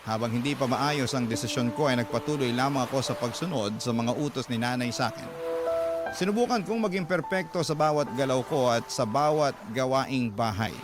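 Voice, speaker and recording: A man's voice: medium pitch, fairly quick. A man with a Filipino accent narrating a dramatic first-person letter in a plaintive, sorrowful storytelling manner. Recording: music bed behind the voice. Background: background music.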